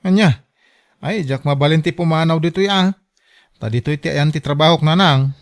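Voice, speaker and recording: A man's voice: a little high, normal speed, natural voice. A man playing a reasonable young adult son in a radio drama, objecting earnestly. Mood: protesting. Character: reasonable, protesting grown son. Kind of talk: Ilocano radio drama acting.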